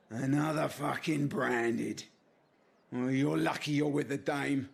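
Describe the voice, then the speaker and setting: A man's voice: medium pitch, steady tone, takes it slowly, creaky. A man playing a coarse, cocky garrison soldier in a fantasy video game, blunt and swaggering, with a British accent. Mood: contemptuous. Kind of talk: cinematic game dialogue.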